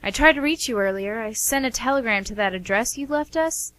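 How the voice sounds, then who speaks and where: A woman's voice: on the high side, animated, fairly quick, fairly bright tone. A woman playing a caring, easily offended sister, earnest and reproachful, in an amateur radio play. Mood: concerned, reproachful.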